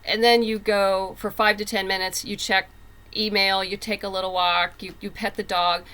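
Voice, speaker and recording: A woman's voice: mid-range voice, flat delivery, moderate pace, bright, forceful tone. A woman talking casually as an interview guest, explaining things in a rambling way with self-interruptions. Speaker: podcast guest, comics writer.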